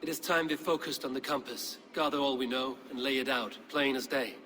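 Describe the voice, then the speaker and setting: A man playing a proud, battle-hardened Viking warrior in a game cutscene, speaking in measured, formal, poetic phrases. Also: mid-range voice, fairly even intonation, pushed and bright, slight background noise, close to an everyday voice. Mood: resolved. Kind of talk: dramatic video game cutscene acting.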